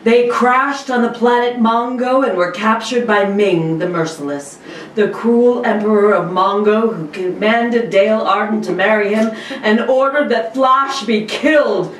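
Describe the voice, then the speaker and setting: A woman's voice: mid-range voice, a little slow. A woman co-hosting a podcast and reading narration as a mock radio announcer. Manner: playful, dramatic reading. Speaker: guest co-host and announcer. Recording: roomy, distant mic, faint noise.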